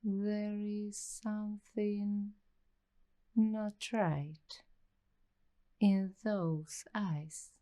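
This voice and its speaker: A woman playing an uneasy, paranoid young waitress in an ASMR roleplay, speaking in hushed, deliberate, halting phrases with suspenseful pauses. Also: medium pitch, level tone. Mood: eerie wonder. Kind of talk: slow ASMR roleplay storytelling.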